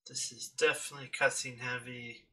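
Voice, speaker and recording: A man's voice: mid-range voice, level tone, brisk, pushed and bright, far from the mic. A man streaming a game, giving casual, off-the-cuff commentary over it.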